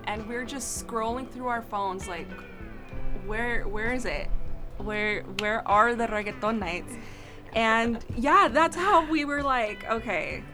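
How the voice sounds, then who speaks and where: A young woman from Idaho, a radio talk show guest, telling stories in a casual, animated way. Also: fairly high pitch, slow, music in the background. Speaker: guest, social media co-founder.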